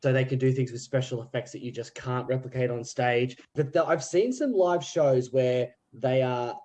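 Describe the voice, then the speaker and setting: Man, 30s, casual podcast chat, relaxed, restarts, middle pitch. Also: normal speed. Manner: relaxed and chatty, with frequent "yeah" and restarts. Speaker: theatre group member.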